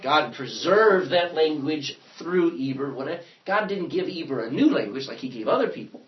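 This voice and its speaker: Brief: man, Bible class teacher, animated and joking, higher voice with lots of swing, lo-fi recording. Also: moderate pace. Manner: animated, joking, thinking aloud. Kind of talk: classroom lecture.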